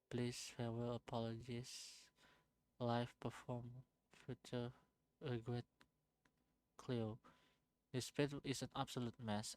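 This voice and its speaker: Halting man reading aloud. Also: medium pitch, darkish tone, clean tone, low-quality recording. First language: Indonesian. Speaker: game streamer. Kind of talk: reading game text aloud on a live stream.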